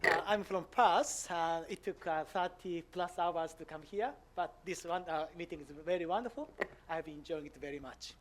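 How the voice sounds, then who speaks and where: A man in his fifties from Japan, speaking English with a Japanese accent, giving a conference lecture with many "uh" pauses. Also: a little high, fairly bright tone. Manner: hesitant, frequent "uh" fillers, simple non-native grammar. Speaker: visiting professor giving a lecture.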